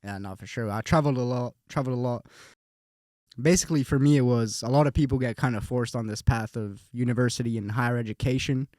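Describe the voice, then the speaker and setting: A young man from Finland, a podcast guest, speaks at a mid pitch in a laid-back, easygoing way. He often repeats words as he searches for what to say. The recording is close and dry. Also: fast, darkish tone, dry recording. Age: young adult. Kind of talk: casual conversation.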